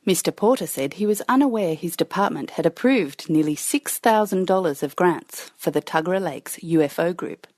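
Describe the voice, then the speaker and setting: A woman's voice: lowish voice, lively intonation, normal speed, hardly any pauses, darkish tone, no room sound. An Australian woman working as a podcast reporter, reading out a scripted news segment.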